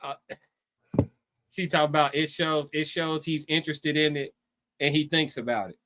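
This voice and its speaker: A man with a fairly high-pitched voice chats casually as a live-stream co-host. He is animated and opinionated, leaning on slang and repeating his phrases to drive them home. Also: moderate pace, slight background noise.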